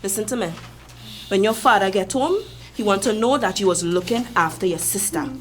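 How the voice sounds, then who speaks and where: A woman's voice: mid-range voice, fairly quick, slight background noise, close to an everyday voice. A woman with a Trinidadian accent playing a firm, devout matriarch who rallies her village in a radio drama, speaking emphatically. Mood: firm, commanding. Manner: emphatic, repeats calls to be heard.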